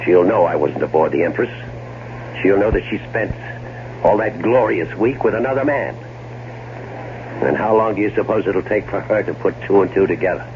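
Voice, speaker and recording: Low-voiced, sarcastic schemer in muffled radio drama. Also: male voice, expressive, moderate pace. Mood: angry, sarcastic. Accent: American. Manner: theatrical, sarcastic, stammers when cornered. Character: scheming, irritable man, bitterly sarcastic older-brother type. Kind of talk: 1970s American radio drama acting. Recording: muffled, noisy old radio broadcast recording.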